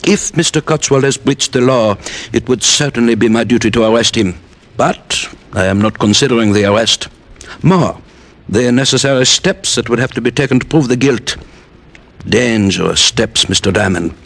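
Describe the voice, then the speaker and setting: A man playing a philosophical island police inspector who talks formally and gives measured, veiled warnings, in a 1950s radio drama. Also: medium pitch, a bit bright. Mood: guarded.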